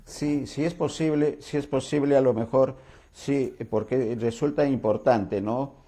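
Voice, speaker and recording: A man's voice: mid-range voice, steady tone, measured pace, mellow. A man hosting an interview, courteous, with frequent fillers and restarts. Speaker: talk show host.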